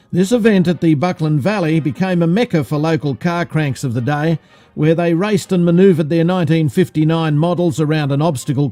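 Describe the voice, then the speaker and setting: A man's voice: a little high, mellow. A man with an Australian accent reading scripted narration in the style of an old cinema newsreel. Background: music.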